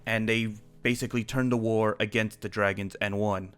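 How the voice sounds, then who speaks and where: Man playing low, smooth, earnest dragon slayer. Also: fairly even intonation, moderate pace, fairly bright tone, soft background music, natural voice. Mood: earnest, grave. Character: earnest male dragon slayer, explaining lore and threats. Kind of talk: improvised Minecraft fantasy roleplay.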